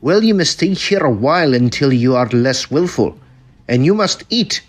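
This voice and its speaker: A man's voice: medium pitch, lively intonation, normal speed, lightly acted. A man playing a falsely soothing, insistent asylum doctor in old-style radio melodrama, with a condescending theatrical manner. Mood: patronising, firm.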